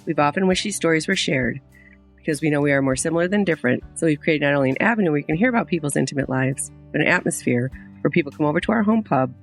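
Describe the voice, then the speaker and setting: A woman's voice: deep voice, lively intonation, fast talker, faint music behind. A woman co-hosting a podcast, introducing the show and asking guests curious questions. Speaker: podcast co-host.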